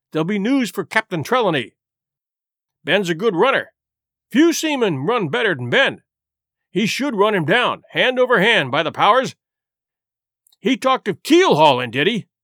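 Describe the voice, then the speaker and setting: A man voicing a genial but shrewd, loud one-legged sailor turned landlord in a storybook, with blustering, salty seafaring talk. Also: high voice, no room sound, studio quality, exaggerated voice. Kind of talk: audiobook character voice.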